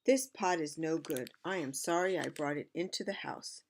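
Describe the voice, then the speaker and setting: A woman's voice: deep voice, moderate pace, a little mellow, slight background noise. A woman voicing a showy, exclaiming rich man in a storybook. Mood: delighted. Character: pompous rich man, grown.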